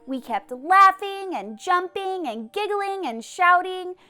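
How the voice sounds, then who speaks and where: A woman voicing an excitable, boastful kindergarten girl from a storybook, chatty and emphatic in a childlike way. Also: extremely high pitch, slow pace, soft background music, voice pushed up, full character voice. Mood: excited. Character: excitable, boastful kindergarten girl narrating her school day. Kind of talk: children's storybook character reading.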